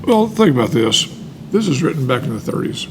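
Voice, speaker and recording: An older man giving casual, earnest explanations with anecdotes, often pausing mid-sentence. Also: big pitch swings. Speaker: speaker on alcoholism recovery, retired medical worker. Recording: clear background noise with low rumble.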